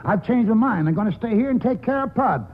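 An actor playing a stubborn, folksy old grandfather in a 1940s radio drama, with a husky voice and halting rural speech. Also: extremely high pitch, lively intonation. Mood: feisty, scheming. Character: stubborn, folksy old grandfather, around seventy, wily and tender. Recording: 1940s radio broadcast, muffled, reverberant hall.

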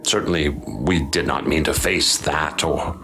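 Deep-voiced man narrating a radio drama. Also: unhurried, gravelly, bright, forceful tone, slight background noise. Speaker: narrator and expedition leader.

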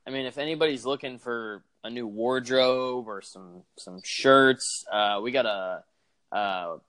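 A man's voice: mid-range voice, measured pace, bright, forceful tone, no echo. Laid-back young man, lots of filler. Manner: laid-back, filler-heavy, laughs easily. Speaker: podcast co-host. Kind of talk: casual chat.

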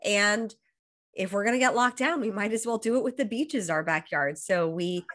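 A woman's voice: mid-range voice, moderate pace, a bit bright. A woman in her thirties from Los Angeles with an American accent, a coach speaking as an interview guest, talking fluently and upbeat while getting over a cold. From Los Angeles, USA. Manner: fluent and upbeat, restarts phrases often. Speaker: guest, high performance coach. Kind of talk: casual interview talk.

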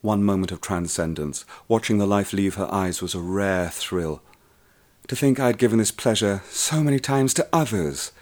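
An actor narrating as a wealthy, jaded male killer, in a brooding, measured way. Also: lowish voice, a little mellow, lightly acted. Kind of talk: narration in a radio drama.

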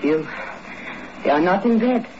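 A bold, scheming adventuress in a vintage spy radio play. The delivery is theatrical and insinuating, with lively swings of pitch. The sound is dull, with clear noise. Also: female voice, a little slow. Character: sly, bold female adventuress and rival dealer. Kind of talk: 1950s radio drama acting. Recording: noisy, muffled old radio recording.

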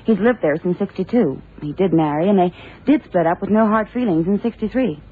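An actor playing a loyal, plain-spoken investigator in a radio crime drama, clipped and questioning. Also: male voice, sits high, brisk, slightly rough. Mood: reporting. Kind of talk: 1960s radio drama acting. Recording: thin, phone-like old radio recording.

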